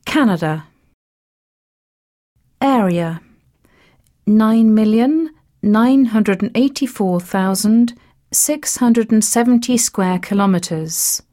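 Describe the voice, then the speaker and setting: Woman narrator for a lesson, slow-paced and measured. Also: medium pitch, mellow. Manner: measured, list-like reading of facts. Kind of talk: scripted educational narration.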